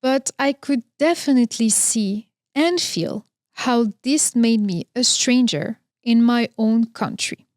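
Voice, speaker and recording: A woman in her thirties from Morocco, hosting a podcast and telling her own story in a slow, reflective, earnest monologue. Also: fairly high pitch, a little mellow, no echo, studio quality. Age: 30s. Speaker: podcast host. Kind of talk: scripted personal monologue.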